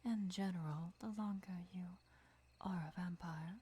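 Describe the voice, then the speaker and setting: A woman playing a gentle, devoted vampire lover who teaches patiently in a breathy, measured whisper for an ASMR roleplay. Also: on the low side, steady tone. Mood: calm, instructive. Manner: measured, deliberate, soft-spoken explaining.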